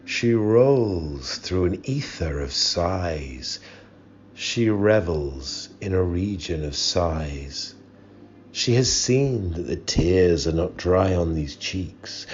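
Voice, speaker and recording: Man acting haunted, impassioned gothic lover. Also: deep voice. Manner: dramatic, impassioned. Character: haunted, grieving male lover roaming with his soul. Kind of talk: dramatic poetry recitation. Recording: muffled, with background hiss.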